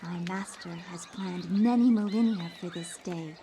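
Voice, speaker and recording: A woman playing a female character in a dark horror fantasy video game, with theatrical cutscene delivery. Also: fairly low pitch, measured pace, noisy background, lightly acted. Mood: solemn, condemning.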